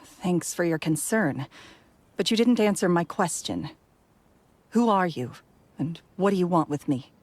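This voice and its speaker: An actress playing a wary, grieving young woman in a video game, speaking slowly and sighing often. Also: fairly low pitch, lively intonation, a little mellow, no room sound. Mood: guarded. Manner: slow, guarded, sighing.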